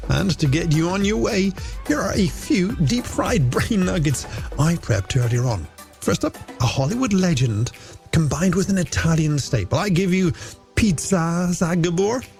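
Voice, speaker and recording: A man's voice: on the high side, very animated, normal speed, vocal fry, darkish tone. A middle-aged man, presenting a late-night music radio show in a playful, theatrical, polished way on a studio microphone. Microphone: close studio broadcast microphone. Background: music bed.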